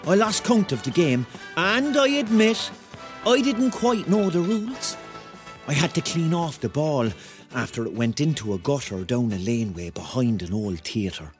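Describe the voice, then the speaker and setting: A man's voice: on the high side, big pitch swings, moderate pace. An actor playing a Cork man in his thirties, narrating a radio drama in a wry, colloquial way with a Cork Irish accent. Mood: vivid, absorbed. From Cork, Ireland. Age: 30s. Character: thirty-year-old Cork man, wry, dreamy storyteller. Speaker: narrator and lead character. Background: music.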